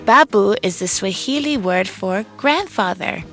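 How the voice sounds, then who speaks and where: A woman's voice: medium pitch, animated, a little slow. A woman voicing an upbeat, confident young girl inventor, telling a children's read-along cartoon story in an enthusiastic, encouraging way. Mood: cheerful, proud. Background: music under the voice.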